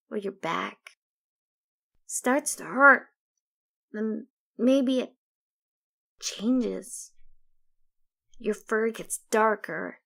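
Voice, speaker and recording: A woman voicing an odd female pony in a storybook, giving halting, cryptic warnings in simple, childlike phrasing. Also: fairly high pitch, vocal fry, a bit bright, exaggerated voice.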